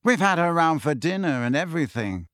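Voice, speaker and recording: A man voicing a smug, arrogant teenage schoolboy who drawls slowly and boastfully. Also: a little high, big pitch swings, fairly bright tone, no echo, studio quality.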